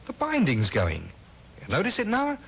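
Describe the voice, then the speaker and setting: Glib young handyman conman, very animated. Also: high-pitched, normal speed, vocal fry, slightly rough, heavily acted. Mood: ingratiating. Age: young adult. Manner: glib, folksy, ingratiating patter. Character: smooth-talking young handyman conman, wheedling, turning menacing. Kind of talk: 1950s radio acting. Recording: noisy old 1950s radio recording.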